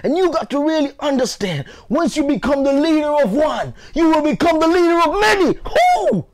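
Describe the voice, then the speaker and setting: A man's voice: very high-pitched, wildly swooping pitch, moderate pace. A man giving a motivational speech, emphatic and exhortative, driving points home by repeating phrases. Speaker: motivational speaker. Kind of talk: motivational speech with acted storytelling.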